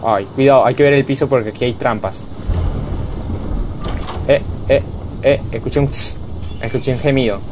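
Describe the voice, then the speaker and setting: A young man from Venezuela streaming live gameplay commentary, excitable and quick to repeat himself and yell when startled. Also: mid-range voice, background noise. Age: young adult. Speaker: gaming streamer. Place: home room, indoors.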